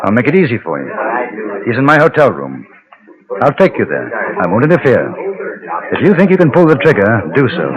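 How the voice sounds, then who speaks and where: An actor playing a calm, probing western gunman who mediates, measured and terse, in vintage radio drama. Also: medium pitch, crowd noise. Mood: firm, challenging. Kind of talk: Golden Age radio western acting with first-person narration. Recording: dull vintage radio with background din.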